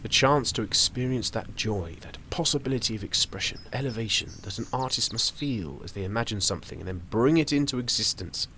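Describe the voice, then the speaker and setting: An actor playing a genial, philosophical middle-aged gentleman in an audio drama, speaking with measured, musing pauses. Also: mid-range voice, animated, a little hiss or hum. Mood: earnest, encouraging. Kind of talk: theatrical audio drama acting.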